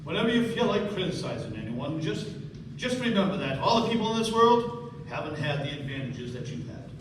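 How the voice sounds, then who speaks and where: A man playing a grave, kindly father giving deliberate advice in a stage radio drama. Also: fairly high pitch, very animated. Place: stage of a small theatre. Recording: echoey hall from far off, noisy.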